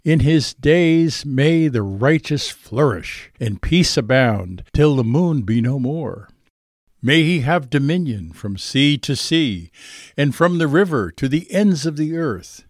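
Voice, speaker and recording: A man reading scripture aloud as a solemn recitation, measured and declamatory. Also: a little high, animated, no echo, studio quality. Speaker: scripture reader.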